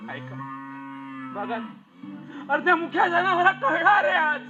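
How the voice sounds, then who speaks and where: A man's voice: very high-pitched, huge pitch swings, measured pace, dull audio, heavily acted. A man acting in a Malvani stage play as a village elder who pleads emphatically with a family not to break up. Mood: admonishing, sorrowful. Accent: Malvani Konkani. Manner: emphatic, repetitive pleading and admonishing. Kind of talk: theatrical one-act play acting.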